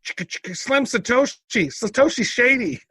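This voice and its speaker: A man's voice: very high voice, animated, normal speed, pushed and bright, husky, dry recording. A man co-hosting a podcast, chatting casually and teasing his co-host. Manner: jokey, teasing, laughs easily.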